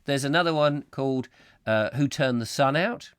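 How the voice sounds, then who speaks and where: A slightly hoarse man hosting a comic live chat show, rambling whimsically and mocking himself as he reads out viewer comments. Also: medium pitch, normal speed, no echo, clean studio sound. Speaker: host.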